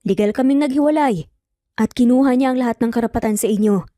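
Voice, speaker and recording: A woman voicing a stern, protective father in an audio story, in a firm, measured manner. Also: a little high, dark tone, no echo, clean studio sound, faint music behind, lightly acted. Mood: serious, regretful.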